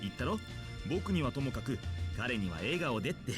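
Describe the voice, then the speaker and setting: Man acting fast-talking, easygoing male teacher. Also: medium pitch, big pitch swings, over music, lightly acted. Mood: playfully alarmed. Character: easygoing, gently teasing adult male schoolteacher. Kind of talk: Japanese visual novel voice acting.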